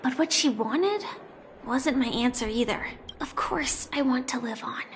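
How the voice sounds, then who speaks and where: An actress voicing a quiet young woman on the run in a game, speaking softly and reflectively. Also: high-pitched, lively intonation, measured pace, a little hiss or hum. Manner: soft, reflective, hushed. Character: quiet young woman fugitive, soft and melancholic. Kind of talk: game dialogue.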